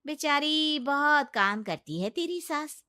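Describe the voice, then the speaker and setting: Woman doing a loud gushing neighbour auntie. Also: high-pitched, lively intonation, slow, dry recording, clean studio sound, voice pushed up, full character voice.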